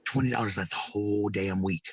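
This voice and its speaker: An American man with a husky, gravelly voice rambling casually to livestream viewers, blunt, sweary and given to repeating phrases. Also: fairly low pitch, fairly even intonation, a little fast, dry recording, faint music behind. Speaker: live streamer.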